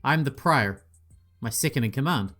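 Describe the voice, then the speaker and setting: A man reads a mystery visual novel aloud, giving each character a voice and adding cheeky asides. His pitch sits mid range. Soft game music plays under him. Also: expressive, moderate pace. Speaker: gaming channel host.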